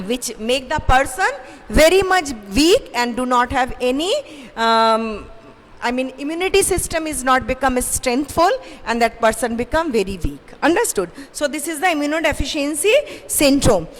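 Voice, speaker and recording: A young woman teacher with a Bengali English accent, giving an online science class to children, halting with many fillers and putting questions to pupils. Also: sits high, fairly bright tone. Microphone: microphone in front of her. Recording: roomy reverb, faint hiss.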